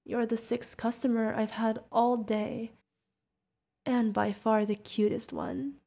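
A woman's voice: a little high, level tone, takes it slowly, creaky, warm tone, exaggerated voice. A woman playing a sweet, curious, friendly feathered alien bartender in an ASMR roleplay, chatting directly to the listener. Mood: sweet, delighted.

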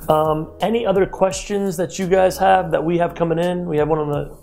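A man's voice: high-pitched, a little fast, vocal fry. Upbeat motivational male interview host. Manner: energetic, motivational, gestures while talking. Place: glass-walled open office, indoors. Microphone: lapel.

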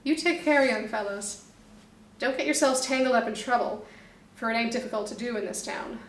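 Stern folksy snake cop, read by a woman, higher voice, roomy distant sound. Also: normal speed, lightly acted. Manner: earnest, suspicious, folksy. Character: stern male snake police officer.

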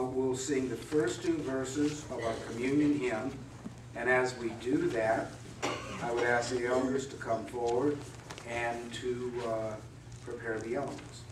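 A man's voice: mid-range voice, steady tone, takes it slowly, pauses often, noisy background. A middle-aged man, a pastor, preaching a sermon in a conversational, wry way with many rhetorical questions. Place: church sanctuary. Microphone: mic at a distance on a pulpit stand. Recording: roomy church echo from a distance.

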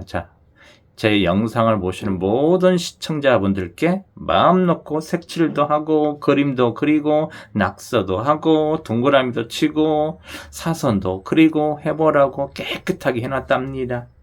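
A man with a regional Korean dialect hosts a chatty talk to viewers, often asking them to agree as he goes. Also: lowish voice. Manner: chatty, repeats "그죠?" to the audience. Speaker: lottery channel host.

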